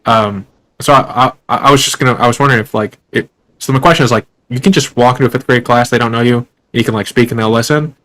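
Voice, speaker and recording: A man's voice: mid-range voice, fast, fairly bright tone, low-quality recording. A young man hosting an interview, asking long, rambling questions with lots of fillers and restarts. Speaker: podcast host. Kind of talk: casual interview.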